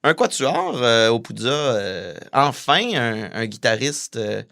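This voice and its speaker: A man's voice: mid-range voice, lively intonation, slow, gravelly, sharp tone. A man with a Quebec French accent, a musician guest in a casual podcast interview, hesitant with many fillers and restarts.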